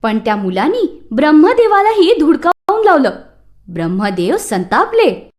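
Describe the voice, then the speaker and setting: A woman narrates a children's myth from a script. Her voice is high and very animated, with expressive, dramatic storytelling delivery. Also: a little slow.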